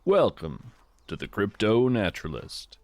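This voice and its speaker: A man playing a gentle, folksy naturalist who hosts a scripted fiction podcast, musing poetically and with wonder about the woods. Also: fairly low pitch, huge pitch swings, heavily acted. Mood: welcoming. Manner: reflective, poetic, unhurried musing. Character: gentle, wonder-struck folksy naturalist narrating a woodland walk. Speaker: podcast host.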